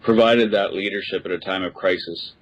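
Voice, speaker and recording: A man speaking as a panel guest in a casual discussion, measured and hesitant as he forms his answer. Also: low-pitched, steady tone, muffled recording.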